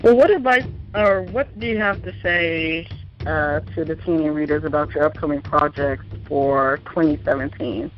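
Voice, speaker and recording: High-pitched man, playful magazine interviewer, dull lo-fi sound, faint music. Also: normal speed. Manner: chatty, playful, laughs often. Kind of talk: interview questions. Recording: muffled lo-fi recording with faint music.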